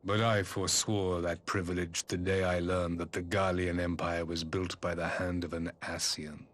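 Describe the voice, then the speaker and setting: A man playing an imperious aging emperor in a video game, speaking in grandiose, measured, archaic oratory. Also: sits low, flat delivery, fairly bright tone. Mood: somber, bitter. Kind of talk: dramatic video game cutscene monologue.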